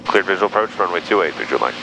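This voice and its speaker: A man's voice: sits low, lively intonation, rapid-fire, gravelly, lo-fi sound, noisy background. A man who is a pilot, chatting casually and making radio calls from the cockpit of a small plane. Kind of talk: casual chat and radio calls while flying. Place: small airplane cockpit in flight. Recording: loud music over cockpit audio.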